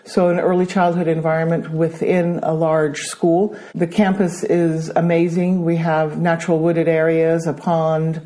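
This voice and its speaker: A woman's voice: deep voice, little pitch movement, measured pace, few pauses. A middle-aged woman with an American accent, a school principal answering interview questions with many hesitations. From Brazil. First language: Danish. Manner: hesitant, many ums, warm about her work.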